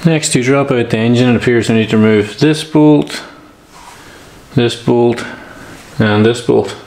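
A man narrating hands-on repair work in an unscripted, matter-of-fact way, pausing between steps as a mechanic does. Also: mid-range voice, darkish tone. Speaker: bike mechanic and channel host. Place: indoor workshop. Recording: clear workshop noise, clanking tools.

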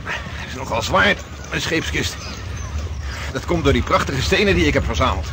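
An actor playing an eager, unfussy young sailor in a period radio drama, plain-spoken and easygoing. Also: male voice, on the high side, animated, moderate pace, background noise.

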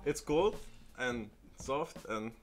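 A man's voice: mid-range voice, animated, slow pace. A man in his twenties co-hosting with casual banter and short questions at a studio table. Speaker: co-host. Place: small indoor studio at a table. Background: music.

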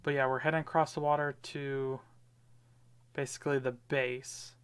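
A man's voice: medium pitch, little pitch movement, normal speed, clean tone. A man giving casual, rambling commentary over his gameplay, with lots of fillers. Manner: rambling, many fillers, upbeat. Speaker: gaming video creator.

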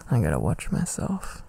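A man doing an intimate boyfriend ASMR roleplay, affectionate and soothing, with a soft breathy voice that often drops to a whisper, close on the mic. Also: mid-range voice. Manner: affectionate, soothing, murmuring with hums. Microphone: close microphone.

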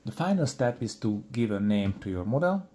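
A middle-aged man presenting a software tutorial in a deliberate, explanatory way. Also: medium pitch, sing-song. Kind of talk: scripted software tutorial narration.

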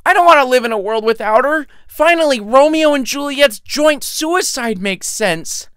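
A man's voice: very high-pitched, animated, normal speed, fairly bright tone, clean tone, no echo, clean studio sound, voice pushed up. A man voicing a heartbroken young American student in an audio story, whiny, sarcastic and melodramatic. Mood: whiny, despairing.

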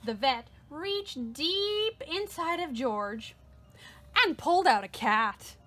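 A woman hosting storytime, reading a picture book aloud to children in an expressive way, drawing out repeated words. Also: high-pitched. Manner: expressive, drawn-out repetitions. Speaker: storytime host.

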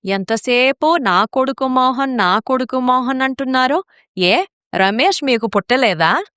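A meddling, indignant mother played by a woman confronts people in a family story. Her voice is high and clear, recorded dry. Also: lively intonation, a little slow, a bit bright, no echo.

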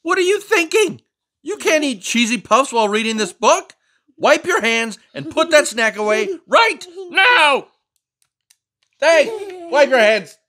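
A man's voice: a little high, wildly swooping pitch, takes it slowly, bright, forceful tone, pitched above the performer's own voice, full character voice. A man voicing a small, fussy, boastful frog who is obsessed with neatness and prone to melodramatic outbursts, reading a picture book aloud. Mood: outraged. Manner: prim, scolding, melodramatic outbursts. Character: fussy, boastful neat-freak frog, small, easily outraged.

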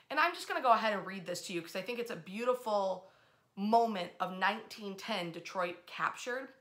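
A woman in her thirties hosting informal history storytelling to camera, with emphatic, expressive delivery. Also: mid-range voice. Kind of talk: informal storytelling to camera, part read aloud. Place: small bedroom, indoors.

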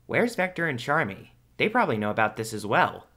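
Casting: male actor as earnest cartoon hedgehog hero, young, persistent, mid-pitched, a little uneasy. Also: big pitch swings, fairly quick, sharp tone. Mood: insistent. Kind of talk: fan audio drama acting.

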